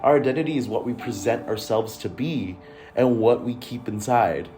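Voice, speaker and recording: A man's voice: mid-range voice, moderate pace, dark tone, soft background music. A young man from Malaysia narrating a documentary in an earnest, introspective voice. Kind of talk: reflective scripted narration.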